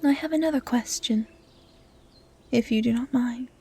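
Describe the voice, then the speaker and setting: A woman voicing a gentle, curious female dryad who guards the woods, speaking softly and often in a whisper in a roleplay audio drama. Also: fairly high pitch, breathy edge. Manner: soft, often whispery, naive and measured. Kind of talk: roleplay audio drama, soft intimate acting.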